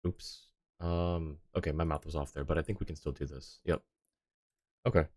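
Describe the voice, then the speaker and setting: Low-voiced man chatting on a game stream. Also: fairly even intonation, normal speed, darkish tone, no echo, studio quality. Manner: rambling, thinking aloud. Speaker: game livestreamer. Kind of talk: casual live-stream gaming chat.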